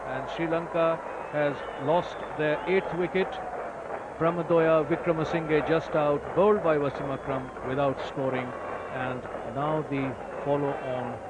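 A man giving ball-by-ball cricket commentary, lifting his voice sharply when wickets fall. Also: fairly high pitch. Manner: excited rises on wickets, measured otherwise. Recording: noisy old broadcast tape.

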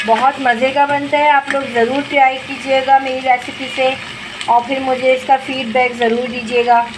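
A woman's voice: high voice, little pitch movement, moderate pace. Woman, cooking at home. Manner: homely, step-by-step instructive, addresses viewers directly. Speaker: cooking channel host. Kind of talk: home cooking tutorial narration. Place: home kitchen, stove. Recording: noisy with frying sizzle behind. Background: sizzling and stirring in a pot.

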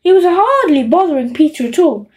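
A woman narrating a children's audiobook in an expressive storytelling style. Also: high voice, brisk.

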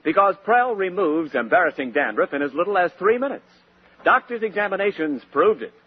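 A man's voice: high voice, expressive, a little fast, breathy edge. An American man announcing in polished, scripted 1940s radio style. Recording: dull old radio recording with music.

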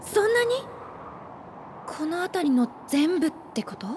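An actress playing a kind, upbeat young woman in a video game, speaking in a gentle, friendly, conversational way. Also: extremely high pitch, big pitch swings, unhurried, slight background noise, full character voice. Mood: surprised. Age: young adult. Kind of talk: dramatic game cutscene acting. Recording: loud music behind the voice.

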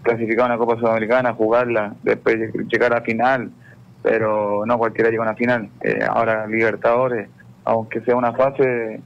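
Halting Chilean footballer, dull radio sound. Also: male voice, medium pitch, little pitch movement. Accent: Chilean Spanish. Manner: halting, repeats words and says "claro" often. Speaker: football player guest.

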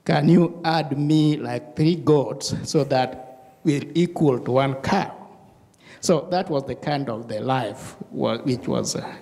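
East African man, gravelly and reverberant. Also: medium pitch, warm tone. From Tanzania, East Africa. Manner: halting, pausing often. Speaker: church member being interviewed. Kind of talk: personal recollection in an interview. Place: indoor church sanctuary. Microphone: handheld microphone. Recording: reverberant hall, handheld microphone.

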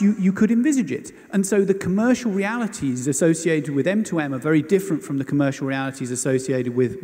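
A man with a fairly high, soft-edged, mellow voice speaks on a conference panel. He explains in a hesitant, qualifying way. His words carry long hall reverb. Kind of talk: panel discussion. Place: large conference hall stage, indoors.

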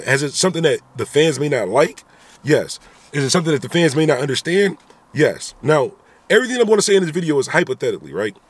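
A man in his thirties talking animatedly into a phone camera inside a car, giving his opinions in a vlog with repeated rhetorical asides. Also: mid-range voice. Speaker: vlogger. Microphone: phone camera at arm's length.

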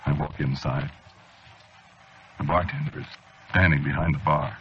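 An actor playing a haunted, paranoid man losing his mind in 1940s radio style, halting and agitated as he confides his story. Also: extremely low pitch, steady tone. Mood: brooding. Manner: halting, agitated, repeating himself. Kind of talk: 1940s radio acting, confiding first-person narration. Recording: muffled, noisy vintage radio recording.

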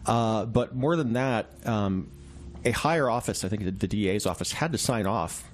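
A man with a fairly low voice talks as an interview guest. He explains conversationally and in a measured way, like a journalist qualifying each detail. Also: lively intonation, slight background noise.

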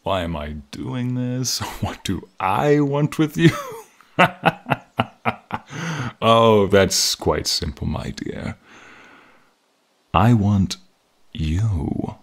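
A man voicing an alluring, menacing archmage who smugly toys with a captive in an ASMR fantasy roleplay, husky and breathy, with teasing murmurs. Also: on the low side, animated, full character voice. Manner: slow, teasing, smug, drawn-out murmurs.

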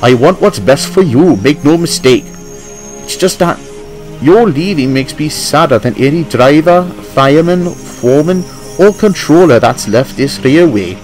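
A man voicing a gentle, wistful old male steam engine who talks fondly. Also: on the high side, animated, measured pace, soft background music, pitched above the performer's own voice.

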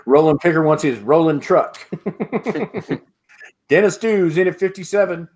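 A middle-aged man hosts a live online auction from his home, calling out bids in a steady, repetitive rhythm. His voice sits slightly high for a man. He laughs often between calls, and the sound comes through an earbud headset on a video call. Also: takes it slowly. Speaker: auctioneer host. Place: home room with sports memorabilia.

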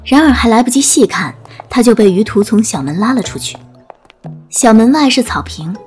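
A woman's voice: fairly high pitch, lively intonation, normal speed, darkish tone. A woman narrating a scripted dramatic audiobook story. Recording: music bed under narration.

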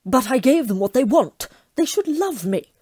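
A vain, petulant devil, acted by a woman in a comic audio play. The voice is fairly high and very animated, theatrical and haughty, full of self-pitying outrage. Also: normal speed, breathy edge. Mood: baffled. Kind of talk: comic audio drama acting.

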